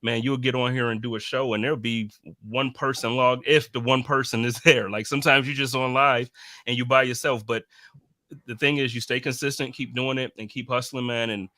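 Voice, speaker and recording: Male podcast host, slangy and supportive, bright voice, dry close mic. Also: mid-range voice, steady tone, fairly quick, dry recording. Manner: friendly, encouraging, slangy. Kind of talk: casual chat.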